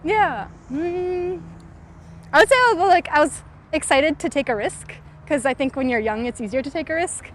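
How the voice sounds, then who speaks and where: A young woman from California answers interview questions while walking outdoors. Her voice sits high, and her speech is loose and relaxed, full of "like" and "um." Faint city noise hums under her. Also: moderate pace. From California, USA. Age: 20s. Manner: frequent "like" and "um" fillers, thoughtful. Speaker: startup founder interviewee.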